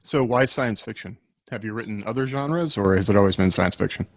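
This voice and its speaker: A man hosts a podcast and puts casual questions to a guest. His voice sits fairly low, and the recording is dry, with no room sound around it. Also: brisk, no room sound. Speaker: podcast host, interviewer.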